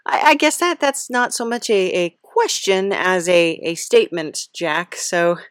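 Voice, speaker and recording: Woman, slightly low voice, comic interviewer, hesitant, bemused, halting phrases, dry close recording. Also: big pitch swings, no echo. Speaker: podcast host interviewing a character.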